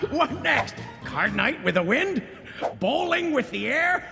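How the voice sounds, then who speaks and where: A man's voice: extremely high pitch, vocal fry, heavily acted. A man voicing a boisterous, mocking cartoon heckler with a grandiose, sneering delivery. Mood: gleeful jeering. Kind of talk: cartoon voice acting. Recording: reverberant, loud music behind.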